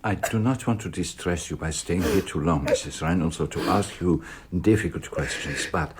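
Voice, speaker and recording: An actor playing a courteous, probing Belgian detective in a radio drama, with a French-speaking Belgian accent and formal, precise questioning. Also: male voice, deep voice, normal speed, slight background noise, natural voice. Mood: polite, probing.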